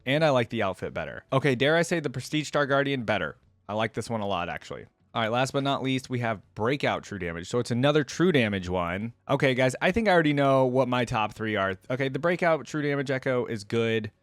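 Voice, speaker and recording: A man's voice: mid-range voice, animated, fast talker, few pauses, no room sound, soft background music. A man hosting a reaction video, giving casual, opinionated commentary in an informal, exclaiming way.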